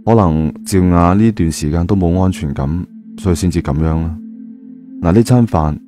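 A man's voice: deep voice, moderate pace, warm tone. A man narrating an audiobook in a dramatic, colloquial storytelling style. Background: music.

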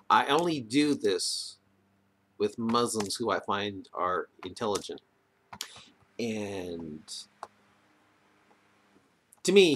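Halting, low-voiced middle-aged man. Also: pushed and bright. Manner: halting, hesitant, trailing off. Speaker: video maker. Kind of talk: casual webcam talk. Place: indoors, wood-panelled room at home. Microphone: computer or webcam microphone, near arm's length. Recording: noisy webcam microphone with room ambience.

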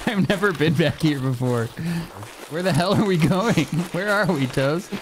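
A man's voice: a little high, animated, a little slow, background noise. A man in his thirties streaming a game from his home setup, joking and laughing with a friend. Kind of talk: casual gaming chat. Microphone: desk mic on a boom arm.

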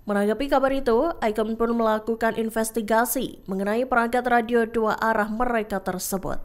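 A woman reading scripted news voice-over in a formal, measured manner. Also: a little high. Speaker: news narrator.

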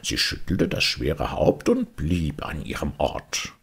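A man with a husky, gravelly voice narrating a storybook in an expressive, theatrical way. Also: very deep voice, takes it slowly. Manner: theatrical, dramatic delivery. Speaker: audiobook reader.

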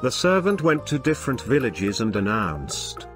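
A man's voice: medium pitch, big pitch swings, moderate pace, fairly bright tone. A man narrating a children's story from a script. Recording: music under the voice. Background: background music.

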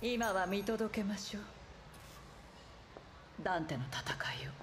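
A woman's voice: mid-range voice, expressive, a little fast, background noise, close to an everyday voice. A woman playing a cool, competitive female demon hunter in a video game cutscene.

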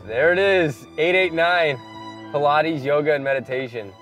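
A man's voice: fairly high pitch, normal speed, fairly bright tone. Casual young man vlogging over music. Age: 20s. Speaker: vlog host. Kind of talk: casual vlog talk.

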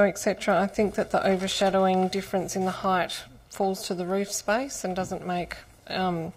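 A woman chairing a council meeting as mayor, speaking with some hesitation and fillers into a desk microphone in a large chamber. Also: lowish voice. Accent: Australian. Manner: brisk procedural, then hesitant in debate.

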